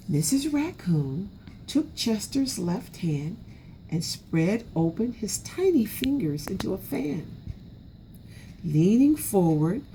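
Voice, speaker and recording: A woman's voice: mid-range voice, dark tone, slight background noise, poor audio. A woman narrating a children's storybook aloud expressively, as an educator would.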